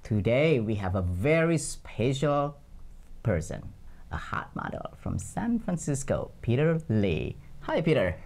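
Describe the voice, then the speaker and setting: A man's voice: mid-range voice, big pitch swings, measured pace, gravelly, a little mellow. A man hosting a studio interview, upbeat with showy greetings. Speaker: interview host.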